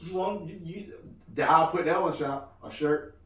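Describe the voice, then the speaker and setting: A married man co-hosting a casual podcast chat, loud-voiced, joking and rambling as he talks. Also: on the high side, normal speed. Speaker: podcast co-host. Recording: roomy room, mic at a distance.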